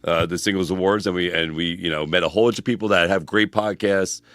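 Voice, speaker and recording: A man's voice: low voice, steady tone, a bit bright, no room sound. A man co-hosting a podcast in casual chat, rambling with lots of "freaking" and "bro". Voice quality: fast, rambling, uses "freaking" and "bro" a lot. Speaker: podcast co-host, personal trainer.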